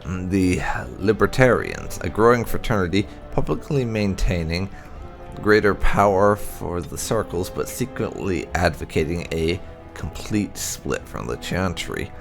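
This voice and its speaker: Low-voiced man reading fantasy lore, lively intonation. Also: measured pace, creaky, faint music behind. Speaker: gaming channel host reading lore entries. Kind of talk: read-aloud narration of fantasy game codex.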